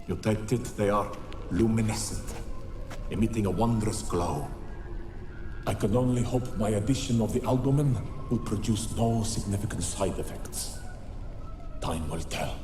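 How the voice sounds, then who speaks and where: An actor playing a solemn, obsessive older scholar dictating a research journal in a fantasy game, in a measured, formal, grave manner. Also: male voice, lowish voice, close to an everyday voice. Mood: clinical, intrigued. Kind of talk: video game character acting, recorded journal. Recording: echoey, noisy recorded-journal sound.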